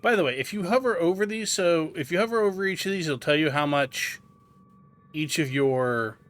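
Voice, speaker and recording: A man's voice: fairly high pitch, animated, moderate pace, fairly bright tone. A man hosting a video game guide, explaining things informally as he plays. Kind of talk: casual tutorial commentary over gameplay. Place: indoors, gameplay voice-over recording.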